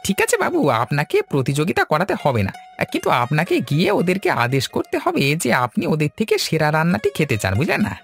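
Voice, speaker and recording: Creaky, swooping, obsequious village servant. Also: male voice, on the high side, wildly swooping pitch, fast talker, few pauses, exaggerated voice. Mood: coaxing. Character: scheming, deferential male village servant Nagen. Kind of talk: Bengali cartoon voice acting. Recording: plucked-string music behind the voice.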